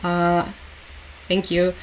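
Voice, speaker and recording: A woman's voice: on the low side, monotone, drawn-out words, poor audio. A woman hosts a live stream in a resigned, somber way. Her first language is Russian, and she talks through the news in English, stopping and restarting often. She breaks off now and then to thank people in her chat. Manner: somber, hesitant, many fillers and restarts; resigned, repeats "unfortunately" and "as always". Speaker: livestream host. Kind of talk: live commentary while reading chat.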